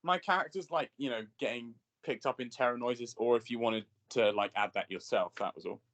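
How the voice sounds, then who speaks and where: A man's voice: mid-range voice, fairly quick, a bit bright. A young man in a table read on a video call, asking questions politely and hesitantly with many fillers. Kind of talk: casual chat.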